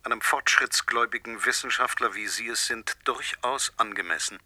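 A man playing a composed, menacing stranger in a radio drama, speaking in measured, formal, moralising phrases. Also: medium pitch, pushed and bright, no room sound. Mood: quietly menacing. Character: menacing, composed male stranger making threats by telephone.

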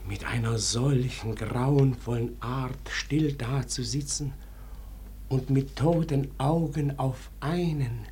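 A man acting a frightened, deferential servant in a 1940s German radio play, halting and hushed with dread in a husky, breathy voice. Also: mid-range voice, a little hiss or hum, close to an everyday voice. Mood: fearful, eerie dread.

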